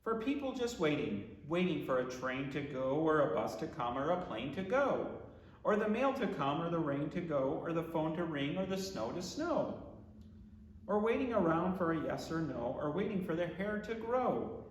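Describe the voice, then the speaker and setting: A man, a pastor, reading a children's book aloud in rhyming verse with expressive, theatrical, deliberate phrasing. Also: on the high side, slight background noise. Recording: echoey room with long reverb.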